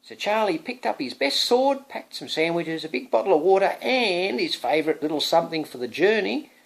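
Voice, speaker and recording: A man's voice: high-pitched, very animated, moderate pace, gravelly. A man, a father, reading a picture book aloud to his young son, drawing out words expressively and adding chatty asides that prompt the child.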